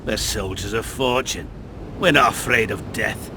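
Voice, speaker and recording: An actor playing an edgy mercenary soldier in a video game, tense and forceful with a gravelly voice. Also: male voice, fairly low pitch, measured pace, noisy background, lightly acted. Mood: challenging. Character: edgy rank-and-file male mercenary soldier. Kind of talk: video game character acting.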